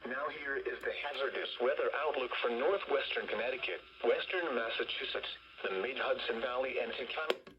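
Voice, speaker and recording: A man's voice: medium pitch, brisk. An automated male voice formally reading a scripted weather bulletin, heard through a small radio speaker. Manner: formal, synthetic delivery. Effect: played through a small radio speaker. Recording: dull, noisy weather radio playback.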